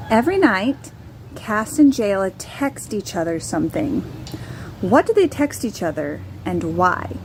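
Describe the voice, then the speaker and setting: A woman's voice: mid-range voice, very animated, a little slow, slight background noise, poor audio. A children's author, a woman, asks a trivia question in an upbeat, cheerful way. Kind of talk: casual trivia presentation.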